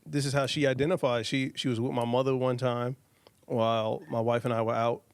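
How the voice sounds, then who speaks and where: A man's voice: mid-range voice, flat delivery, fairly quick, clear, resonant tone, no room sound. A man in his thirties co-hosting a podcast, telling a story with jokes and easy laughter on a handheld mic in an office lounge. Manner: laughs easily, joking. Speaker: podcast co-host. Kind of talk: casual storytelling.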